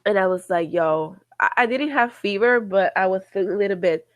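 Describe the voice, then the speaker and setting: Nasal, congested woman co-host, mid pitch, dry close mic. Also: fairly quick, no echo. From Latin America. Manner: chatty, laughs often, code-switches into Spanish. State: sick with a cold, congested. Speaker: podcast co-host. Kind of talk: casual podcast chat.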